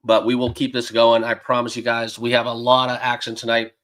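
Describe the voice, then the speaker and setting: A man hosting a sports betting livestream, slightly hoarse as he loses his voice, talking loosely with many fillers and greeting viewers by name. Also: medium pitch, monotone, a little fast, a bit bright. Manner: loose and rambling, lots of fillers. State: losing his voice, a bit hoarse. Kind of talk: casual livestream chat. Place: dedicated home studio room.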